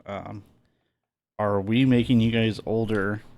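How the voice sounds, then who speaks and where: A man's voice: fairly low pitch, fairly even intonation, slow pace, darkish tone. A man in his thirties co-hosting a casual podcast chat. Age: 30s. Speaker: podcast co-host.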